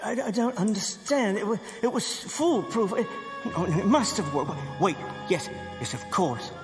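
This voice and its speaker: An actor playing a precise, self-assured scientist and criminal mastermind in a radio drama, with formal, clipped diction. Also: male voice, high-pitched, sing-song, moderate pace. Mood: startled, insistent. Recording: hall-like reverb with faint music.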